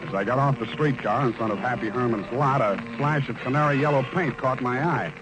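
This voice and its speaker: An actor playing a cool, wry private detective in a 1940s-style radio drama, on an old recording. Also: male voice, medium pitch, a little fast, over music, close to an everyday voice, poor audio. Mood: wry, narrating. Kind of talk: 1940s radio detective acting with scripted narration.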